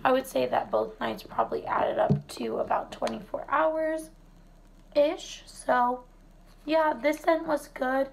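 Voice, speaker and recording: Hoarse woman, very gravelly, high-pitched, unhurried chatty product-review vlogger. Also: background noise. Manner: chatty, relaxed, many fillers. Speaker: wax melt reviewer. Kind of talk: casual product review vlog. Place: home kitchen, indoors.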